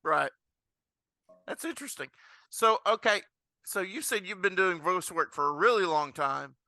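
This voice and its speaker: A man's voice: sits high, animated, moderate pace, pushed and bright, no room sound. A man hosting a podcast, chatting and asking questions in a casual interview style.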